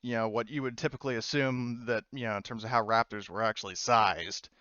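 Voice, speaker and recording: A man casually narrating a tabletop roleplaying game as game master, improvising and thinking aloud in a halting way. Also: medium pitch, fairly even intonation, sharp tone, clean tone, no echo, muffled recording.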